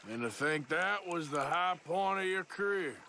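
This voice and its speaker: An actor playing a gruff, sardonic cowboy outlaw in a video game, with an American Western drawl and a dry, taunting delivery. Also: male voice, sits high, lively intonation, moderate pace, pushed and bright, slight background noise, full character voice. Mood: mocking. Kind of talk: video game cutscene dialogue.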